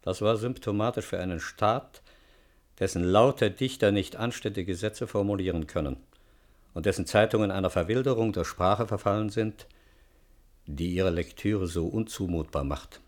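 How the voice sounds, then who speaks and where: An actor playing a dignified, weary older father, speaking in measured, formal, eloquent phrases in a radio play. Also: low-pitched, natural voice. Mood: indignant, cultured. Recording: music under studio radio drama. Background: music.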